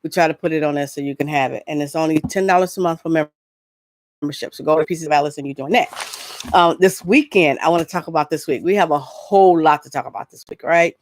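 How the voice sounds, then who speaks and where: A woman's voice: low-pitched, fast talker. A middle-aged woman hosting a casual entertainment vlog, chatting loosely and often rambling off into asides. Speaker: host of an entertainment news show. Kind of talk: casual solo vlog chat with announcements.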